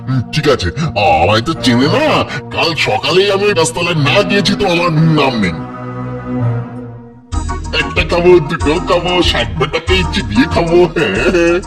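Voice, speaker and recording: A man voicing a gullible, excitable and boastful village weaver in a folk-tale cartoon, with exaggerated theatrical delivery. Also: a little high, moderate pace, natural voice. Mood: menacing singsong. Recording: loud music under the voice.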